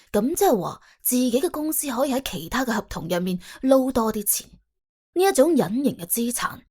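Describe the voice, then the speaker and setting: A woman narrates a comic crime story in an expressive, conversational storytelling style. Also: fairly high pitch. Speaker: audiobook narrator and host.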